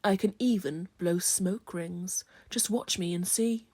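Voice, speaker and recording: A woman's voice: lowish voice, slow, a little mellow, no echo, pitched below the performer's own voice. Woman putting on a pompous, boastful male scarecrow. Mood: boastful, scornful. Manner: pompous, showy. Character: boastful, pushy, dashing male scarecrow rival.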